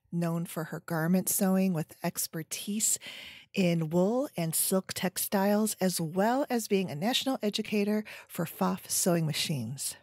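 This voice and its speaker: A woman in her forties hosting a solo podcast chat at home, her voice scratchy from a sinus infection, with lots of ums and trailing pauses. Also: lowish voice, a little mellow, dry recording, studio quality. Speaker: podcast host. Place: home room with bookshelves. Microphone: headset.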